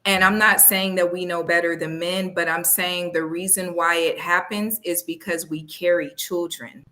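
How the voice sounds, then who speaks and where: Woman, bright voice, blunt, profane, emphatic, casual podcast chat. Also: fairly low pitch, fairly even intonation, moderate pace. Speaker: podcast co-host.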